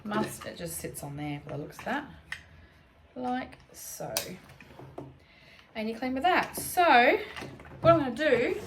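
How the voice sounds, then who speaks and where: A woman with an Australian accent, croaky from allergies, chatting casually as she works through a DIY cleaning job at home. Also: fairly high pitch, lively intonation, measured pace, long pauses, very noisy. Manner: thinking aloud, chatty, self-correcting. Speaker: homestead vlogger. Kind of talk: casual DIY vlog chat. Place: home, indoors.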